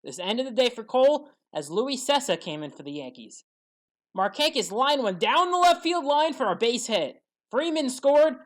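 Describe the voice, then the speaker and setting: Sports recap narrator: woman, higher register, strongly varied intonation, measured scripted play-by-play. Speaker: sports highlights commentator.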